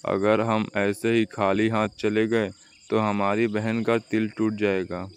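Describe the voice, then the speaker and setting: A man's voice: on the low side, flat delivery, takes it slowly, smooth. A man voicing a responsible, caring eldest brother crow in a children's cartoon story. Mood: reproving.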